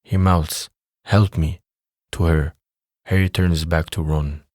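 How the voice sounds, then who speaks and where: A man's voice: low voice, steady tone, slow pace, darkish tone, no echo, studio quality. A man reading scripted third-person narration for an audio drama.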